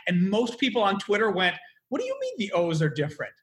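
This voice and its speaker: A man from Chicago with a Midwestern American accent, hosting a casual interview in a rambling, excitable way and swearing freely. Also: high voice, fast talker. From Chicago, United States. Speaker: podcast host.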